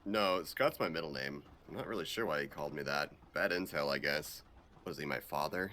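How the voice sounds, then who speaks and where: A man playing a cocky, dim-witted action agent in a comedic game fan dub, with deadpan, hesitant, sweary banter. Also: rumbling low, fairly quick, slight background noise.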